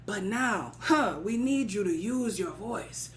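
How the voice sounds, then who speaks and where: A woman voicing humble villagers in a folktale, pleading and flattering with repeated entreaties. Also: mid-range voice, slow, a little hiss or hum. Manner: beseeching, repetitive flattery.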